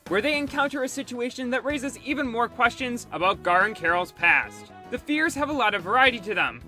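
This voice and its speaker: A man's voice: extremely high pitch, pushed and bright. A man reading a scripted review as host, with music behind him. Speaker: host reviewing cartoon episodes. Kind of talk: scripted narration.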